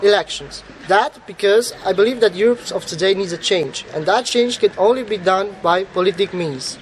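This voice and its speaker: A man's voice: sits high, normal speed. A man from Romania, a student, speaking in short, plain statements.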